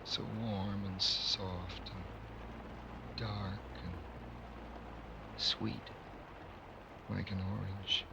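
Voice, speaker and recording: Rueful young American lad, noisy recording. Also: male voice, deep voice, level tone, extremely slow, creaky, pauses often, heavily acted. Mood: hushed, tender. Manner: colloquial, folksy, rambling first-person confiding. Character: young American racetrack hand, smitten, rueful, boyishly naive storyteller. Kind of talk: old radio drama narration. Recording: noisy old radio recording, vehicle noise behind.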